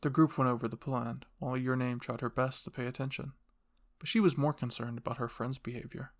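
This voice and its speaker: A man reads fan fiction as a scripted story. His voice sits at a medium pitch, and he speaks as narrator and channel host. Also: steady tone, a little fast.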